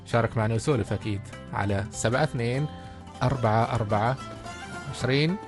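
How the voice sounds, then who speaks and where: Omani man radio co-host, fast easygoing chat, faint music bed. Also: mid-range voice. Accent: Omani Arabic.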